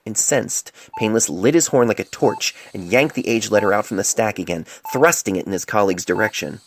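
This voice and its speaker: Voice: mid-range voice, a little fast, slight background noise. An amateur narrator reading a story dramatically into a cell phone. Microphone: cell phone mic. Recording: amateur phone recording with music behind.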